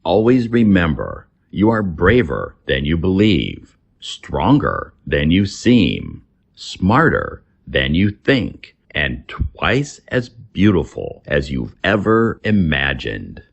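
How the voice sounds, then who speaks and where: A man reading scripted English lessons as a teacher, deliberately, pausing between short phrases. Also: sits low, huge pitch swings, gravelly, slightly rough, dull audio.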